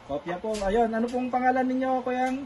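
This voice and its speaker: A man's voice: very high voice, brisk, very noisy. A polite man making a casual two-way radio call as an amateur operator, repeating himself for clarity. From Philippines.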